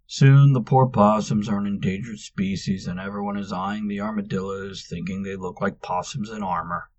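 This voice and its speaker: A man's voice: lowish voice, steady tone, moderate pace, a little mellow, dry recording, muffled recording, lightly acted. A man voicing the exasperated young Manx. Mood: sulky, weary. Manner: exasperated, insistent.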